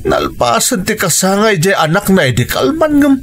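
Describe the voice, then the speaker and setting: An exasperated male relative in a radio drama. His voice sits fairly high and swings widely in pitch. He leans hard on exclamations and draws them out. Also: huge pitch swings, moderate pace, a bit bright, exaggerated voice.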